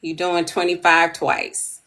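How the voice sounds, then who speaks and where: A woman in her fifties hosting a casual podcast chat, relaxed and rambling, often repeating herself. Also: fairly low pitch, slow. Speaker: podcast host.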